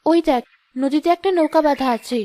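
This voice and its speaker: Woman playing a high-voiced caring fairy sister. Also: fairly quick, warm tone, natural voice. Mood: reassuring. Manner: reassuring, instructing. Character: caring elder sister fairy helping an exile. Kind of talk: cartoon fairy-tale acting.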